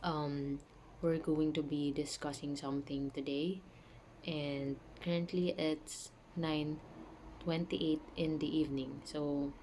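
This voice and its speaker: A woman with a low voice and a soft, dark, mellow tone. She is sick and talks in a chatty way with many fillers, explaining ideas to her viewers. Faint room noise is heard behind her. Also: little pitch movement, slow. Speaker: channel host. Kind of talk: casual spiritual talk to camera. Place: home, dogs nearby.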